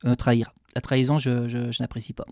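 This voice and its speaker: A man with a French accent from France speaks as a radio guest, frank and personal, often stopping and restarting his sentences. His voice sits at a middle pitch. The studio sound is dry and close. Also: level tone, fast talker, dark tone, dry recording. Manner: candid, hesitant with frequent restarts. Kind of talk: radio interview answers.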